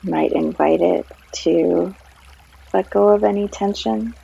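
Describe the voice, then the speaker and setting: Slow, very creaky woman guiding meditation. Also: lowish voice. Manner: gentle, unhurried, long pauses between phrases. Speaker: yoga and meditation guide. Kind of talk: guided meditation, soothing narration. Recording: slightly dull sound, trickling stream water behind. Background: flowing stream water.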